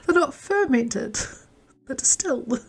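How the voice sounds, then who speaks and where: A woman's voice: high voice, expressive, slow pace, gravelly. A woman from New Zealand hosting a crochet livestream, chatting casually and rambling, sometimes muttering stitch counts under her breath. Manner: chatty, rambling, reads out and answers chat. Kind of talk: casual chat while crocheting.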